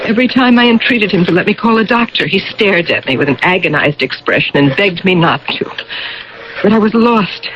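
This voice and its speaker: Actress as earnest wife on muffled old radio. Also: female voice, lowish voice, natural voice. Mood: troubled narrating. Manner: earnest, urgent, repeating herself. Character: devoted, anxious wife of an older man, narrating her story. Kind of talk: radio drama acting and first-person narration.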